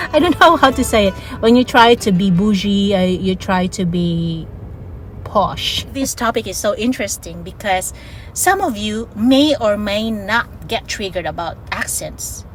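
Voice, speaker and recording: A woman's voice: mid-range voice, animated, measured pace, rough recording. A woman from the Philippines, speaking English with a Filipino accent touched with Australian, talks casually in a vlog, laughing often and drawing out her words. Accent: Filipino, with some Australian. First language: Ilonggo. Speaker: vlogger. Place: home in Australia. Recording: music playing behind.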